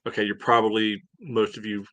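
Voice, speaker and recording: Low, even-toned man talking sports. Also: a little fast, dry recording. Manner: conversational, peppered with "you know". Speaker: soccer podcast co-host. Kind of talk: casual sports analysis chat.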